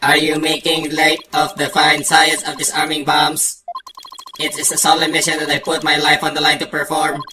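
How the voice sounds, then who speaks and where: A smug male bomb disposal expert, played by a woman, pitched low. The delivery is pompous, precise and condescending. It is a game fan dub with music clearly behind. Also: monotone, moderate pace, reverberant, far from the mic, lightly acted. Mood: smug, offended.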